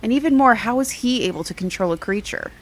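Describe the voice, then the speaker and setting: A woman's voice: on the high side, expressive, fast talker, a little hiss or hum, lightly acted. Casting: actress for exasperated, emphatic female friend in audio drama, swinging intonation, light background ambience. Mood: challenging. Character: blunt, exasperated, wry young woman.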